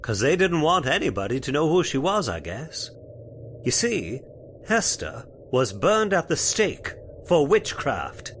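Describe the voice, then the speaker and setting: A man's voice: a little high, big pitch swings, unhurried, a bit bright, a little hiss or hum, full character voice. A man playing a folksy, spooked cemetery caretaker in old-time radio horror style, with rustic turns of phrase. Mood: ominous. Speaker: voice actor.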